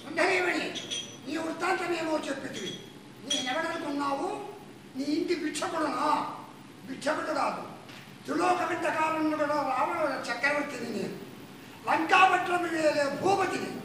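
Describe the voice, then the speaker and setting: A man's voice: moderate pace, bright, forceful tone. A man voicing an arrogant, threatening demon king in a traditional puppet play, declaiming theatrically; recorded live, echoey and distant with noise. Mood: boastful. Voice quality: declaiming, booming theatrical delivery. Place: temple grounds, live puppet show.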